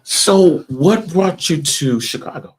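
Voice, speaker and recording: A man's voice: on the high side, animated, slow. A man hosting a casual gospel music interview, folksy and emphatic, often repeating his phrases. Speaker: talk show host.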